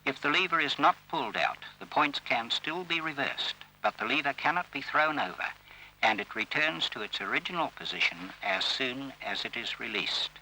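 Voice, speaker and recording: A man reading scripted instructional narration for an old training film, in a formal, measured and instructive manner. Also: medium pitch, animated, sharp tone. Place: voice-over recorded for film. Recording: old scratchy railway training film soundtrack.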